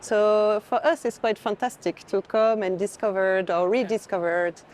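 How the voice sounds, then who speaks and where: A woman's voice: medium pitch, steady tone, normal speed, a little mellow. A woman curator giving casual conversational commentary on art. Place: indoor art fair. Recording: faint fair background noise.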